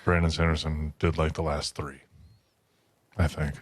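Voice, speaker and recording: A man with a deep voice co-hosts a casual book podcast. His delivery is informal and conversational, and the recording is dry with very little room sound. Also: little pitch movement, moderate pace, husky, no room sound. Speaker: podcast co-host.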